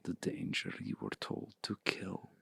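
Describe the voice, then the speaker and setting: A man playing a seductive, commanding male vampire in an intimate ASMR roleplay, speaking slowly and deliberately in a hushed, breathy voice close to the mic. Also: sing-song, no room sound, heavily acted. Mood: smug, teasing. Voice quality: low, gravelly, breathy. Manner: slow, deliberate, hushed, often breathy near-whisper. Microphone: close mic, dry.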